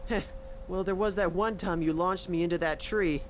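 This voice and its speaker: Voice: mid-range voice, steady tone, moderate pace, a little hiss or hum, lightly acted. An actor playing an anxious, loyal young sidekick, earnest and worried, in a radio adventure serial. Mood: uneasy.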